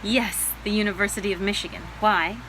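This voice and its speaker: An actress playing a proud, articulate woman physician of a bygone era, brisk and formal with a flirtatious streak, at a theatrical table read. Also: medium pitch, fairly even intonation, a bit bright, slight background noise, close to an everyday voice, rough recording. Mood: pleased, warm.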